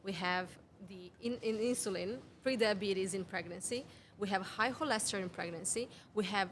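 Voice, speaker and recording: Woman, 30s, Serbian accent, non-native speaker, earnest explainer, mid-pitched voice, faint background noise. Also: moderate pace, fairly bright tone. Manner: earnest and explanatory, stringing rhetorical questions, with non-native grammar slips. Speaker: guest, women's health mentor. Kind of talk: conversational podcast interview. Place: cafe.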